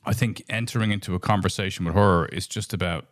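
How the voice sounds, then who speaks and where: A man's voice: low-pitched, fairly even intonation, fast talker, no room sound, studio quality. A man with an Irish accent co-hosting a podcast, talking in a reflective, thoughtful way with frequent restarts. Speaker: podcast co-host. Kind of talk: casual podcast chat.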